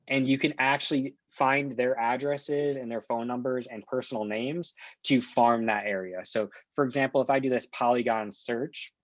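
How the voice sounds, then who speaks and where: A man working as a software trainer, talking through an on-screen walkthrough in an informal, explanatory and reassuring way. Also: mid-range voice, no room sound, dull audio.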